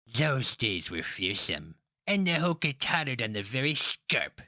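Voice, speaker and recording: Man putting on a husky, scornful old woman. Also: mid-range voice, big pitch swings, measured pace, bright, forceful tone, no echo, clean studio sound, voice pushed up. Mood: lecturing, scornful. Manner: scolding, rueful, teasing. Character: old woman, canny, mocking, scornful matriarch.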